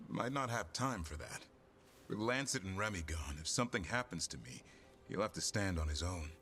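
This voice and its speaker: A man playing a gruff, stoic but caring warrior and creature keeper in a video game cutscene, terse and dryly humorous. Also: low voice, animated, normal speed, fairly bright tone. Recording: music behind.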